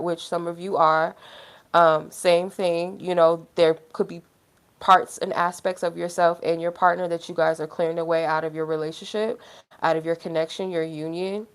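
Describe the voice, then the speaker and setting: Stuffy-nosed woman on a tarot livestream. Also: fairly low pitch. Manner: rambling, heavy use of "like" fillers. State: stuffy nose; feeling unwell. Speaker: tarot reader. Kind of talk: casual livestream chat.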